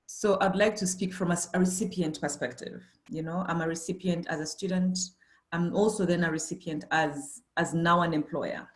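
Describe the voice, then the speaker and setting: A woman's voice: fairly low pitch, steady tone, normal speed. A middle-aged woman giving a webinar panel talk, thoughtful and hesitant, with many fillers and restarts. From Uganda. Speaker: panelist, company managing director.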